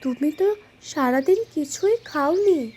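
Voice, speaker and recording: A woman playing a plucky, devoted young girl in an audio story, excitable and childlike. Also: high-pitched, slow pace, a little hiss or hum. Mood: playful, curious. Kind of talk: Bengali audio story acting.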